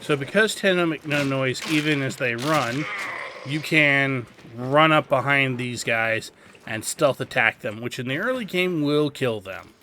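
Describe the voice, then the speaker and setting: A man giving casual tutorial commentary on a video game, explaining things in deliberate, paused phrases. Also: medium pitch, lively intonation, background noise. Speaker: gaming guide host.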